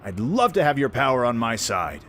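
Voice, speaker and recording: A man playing a brash, friendly young pirate prince in an animated, informal way for a fan audio drama. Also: mid-range voice, moderate pace, a little hiss or hum. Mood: teasing, persuasive.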